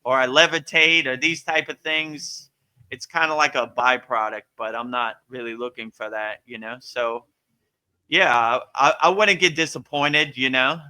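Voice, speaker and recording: A man hosting a live spiritual question-and-answer session, talking in a relaxed, rambling way with many fillers. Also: mid-range voice, measured pace, smooth. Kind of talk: casual spiritual Q&A.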